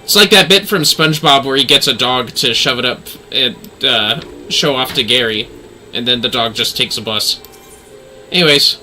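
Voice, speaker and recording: A man's voice: mid-range voice, normal speed, pushed and bright, a little hiss or hum. A man giving casual, rambling livestream game commentary, swearing now and then. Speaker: game streamer.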